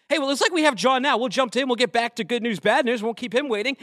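A man from Massachusetts, hosting a podcast in an animated, jokey, casual way. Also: extremely high pitch, no echo, studio quality. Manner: animated and jokey, fast filler-laden talk. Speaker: podcast host. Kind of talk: casual chat.

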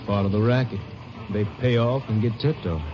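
An actor playing a worldly, cynical newspaper editor in a 1950s radio drama. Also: male voice, on the low side, fairly even intonation, moderate pace, background noise, lightly acted. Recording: muffled old radio broadcast.

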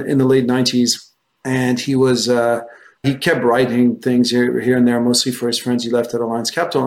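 A middle-aged man from France, a native French speaker, giving discursive, anecdotal answers as an interview guest. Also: medium pitch. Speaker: guest, investment research executive.

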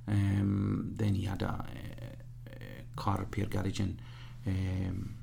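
A man, being interviewed and speaking haltingly with many restarts; English is his third language. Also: low-pitched, monotone, creaky, mellow, a little hiss or hum. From Pakistan. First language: Punjabi or other regional language; Urdu second, English third. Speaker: guest. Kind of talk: casual interview.

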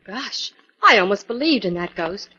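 An actress playing a curious, quick-witted young woman who assists a sleuth, in 1940s radio style. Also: mid-range voice, animated, sharp tone. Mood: curious, puzzled. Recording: dull 1940s radio recording.

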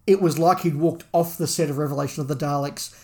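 A man's voice: a little high, fairly even intonation, fast talker, warm tone. A man co-hosting a podcast, giving opinionated, emphatic views in a casual review discussion.

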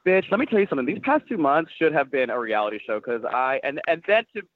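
A man who is a drag performer, chatting as a guest in a rapid, campy, sassy way full of slang. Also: a little high, big pitch swings, a little hiss or hum. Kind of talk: casual podcast chat. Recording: tinny phone-like line, dry.

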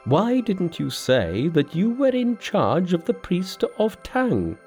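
Man voicing a stern, high-pitched goddess. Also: wildly swooping pitch, slow pace, a little mellow, soft background music, exaggerated voice. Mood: scolding. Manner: scolding, authoritative. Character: stern, exasperated female Buddhist deity. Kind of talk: storybook narration with character voices.

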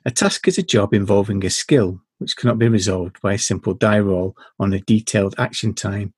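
A man hosting a podcast, talking in a dry, measured, deadpan way and reading game rules aloud. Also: on the low side, husky, no room sound. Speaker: podcast host.

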